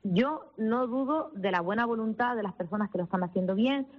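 A woman's voice: fairly high pitch, expressive, brisk, phone-quality sound. A woman lawyer with a Canarian accent tinged with Castilla-La Mancha, speaking earnestly as a radio guest, full of fillers and restarts. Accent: Canarian mixed with Castilla-La Mancha. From Canary Islands. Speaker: lawyer for minors and immigrants. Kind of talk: radio interview guest on the phone.

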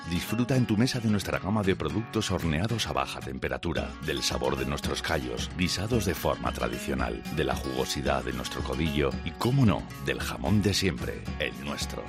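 A man voicing a scripted radio commercial with a slow, deliberate delivery. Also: a bass voice. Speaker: ad voice-over. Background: guitar music.

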